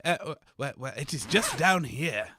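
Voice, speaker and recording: A man's voice: fairly high pitch, animated, moderate pace, pushed and bright, slightly rough, full character voice. A man playing a seedy, cowardly radio host who stammers theatrically through an audio comedy sketch. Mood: leering.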